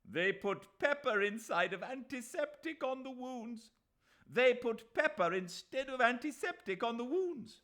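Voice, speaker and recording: A male reader voicing a furious male agitator who yells every line, shrieking slogans. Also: very high voice, expressive, a little slow, voice pushed up, full character voice. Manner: shrieking, yelling.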